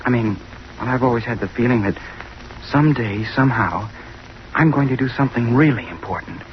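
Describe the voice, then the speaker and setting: This is a dull vintage radio recording with faint noise. A man plays an awkward young chemistry student with a medium-pitched voice, earnest and ambitious. He speaks hesitantly and very politely, quick to apologize. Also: lightly acted. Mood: earnest, dreamy. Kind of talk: old-time radio drama acting. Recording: muffled, noisy old radio recording.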